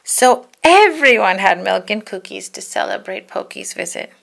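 A woman reads a story aloud for children. Her mid-pitched voice has plenty of creak in it. She tells it expressively and deliberately, leaning on key words. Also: expressive, a bit bright. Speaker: storybook read-aloud narrator. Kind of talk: scripted children's story narration.

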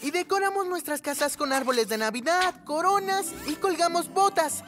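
Very high-pitched, earnest child hero. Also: female voice, normal speed, loud music behind the voice. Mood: kindly, earnest. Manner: earnest, instructive. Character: kind, responsible young child superhero. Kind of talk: animated cartoon dub.

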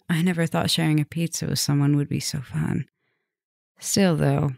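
A woman's voice: sits low, creaky, no room sound, studio quality. A woman playing a flirty, teasing vampire professor in an affectionate, chatty ASMR roleplay spoken to the listener.

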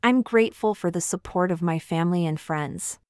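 Smooth, low-pitched woman reading English prompts. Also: normal speed, a little mellow, dry recording, studio quality. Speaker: English translation reader.

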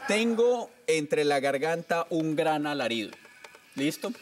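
A man's voice: on the high side, lively intonation, unhurried, recorded from a distance, background noise. A man hosting a studio comedy game, playful and laughing often. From Colombia. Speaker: game show host. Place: large TV studio set.

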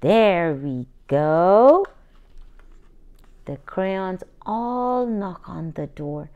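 A woman reads a children's picture book aloud at a very unhurried pace. Her pitch rises and falls a great deal as she takes each line, and she slips into playful voices for the characters. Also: narrow, tinny audio. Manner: slow, lively storytime reading with playful character voices. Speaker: children's book reader, channel host. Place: indoors at a table, hands over a book.

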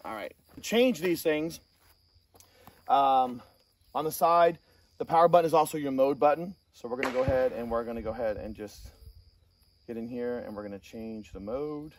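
A man's voice: mid-range voice, moderate pace. Distant, casual male gear reviewer outdoors. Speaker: host of a gear review channel. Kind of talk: casual hands-on product review talk. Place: outdoor shooting range. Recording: distant mic, outdoor noise, insect chirps.

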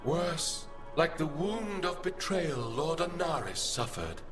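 An actor playing a haughty, grandiose archive spirit in a video game, speaking formally and with condescension. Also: male voice, on the high side, big pitch swings, takes it slowly, over music. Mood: scornful, grandiose. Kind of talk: video game character dialogue.

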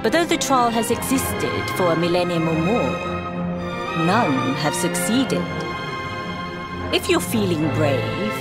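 A stately temple grand master from a video game. She is imperious and wry, with formal phrasing and a lively, swooping intonation, over loud music. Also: fairly low pitch. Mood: grave, commanding. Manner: imperious, measured, formal, teasing. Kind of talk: video game cutscene acting.

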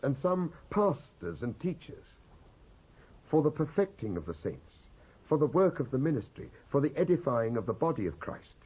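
British guy doing a dramatic solemn bible reading, husky voice, dull old cassette sound. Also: mid-range voice. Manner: solemn, declamatory, measured phrasing with pauses. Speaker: Bible reader, actor.

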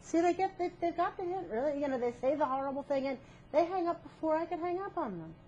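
A middle-aged woman with a high voice hosts a live call-in show. She talks casually and bluntly, staying unflustered. There is clear noise on the recording. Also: expressive, fast talker, dark tone, highs cut off. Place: small TV studio. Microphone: lapel mic. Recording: noisy TV audio.

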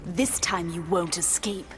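A savage feline huntress from a video game acted by a woman. Her low voice takes its time, haughty and menacing, with music playing behind. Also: natural voice. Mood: predatory, haughty. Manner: slow, purring menace, haughty. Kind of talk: video game villain acting.

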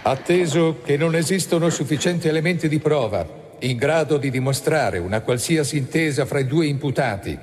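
Actor as solemn judge in echoing hall. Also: male voice, on the high side, brisk, a little hiss or hum, natural voice. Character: solemn male judge pronouncing a court verdict. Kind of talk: formal read-out in radio drama. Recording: long hall reverberation.

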